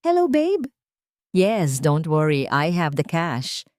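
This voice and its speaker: A woman's voice: sits low, wildly swooping pitch, slow pace, darkish tone, dry recording, full character voice. A woman narrator acts out a villainous aunt from an audio drama, greedy and cruel. She gloats and taunts in a silky, seductive way, swapping between two languages, in a very dry recording. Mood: sweet, scheming. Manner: gloating, switching between Tagalog and English.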